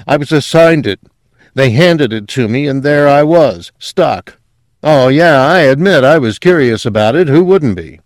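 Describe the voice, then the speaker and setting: Audiobook role, male reader: hostile, sardonic drugged man, grating drawl, raised pitch, dry sound. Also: lively intonation, a little slow, no room sound, close to an everyday voice. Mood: bitter, wry. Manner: drawling, bitter. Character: hostile, sardonic man under a truth drug.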